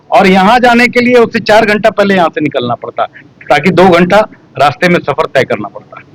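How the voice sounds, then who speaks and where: A man's voice: sits high, a bit bright, no echo, poor audio. A man speaking earnestly and rhetorically to reporters at a press interview. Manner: earnest, rhetorical, complaining about officials. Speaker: local activist interviewed by press.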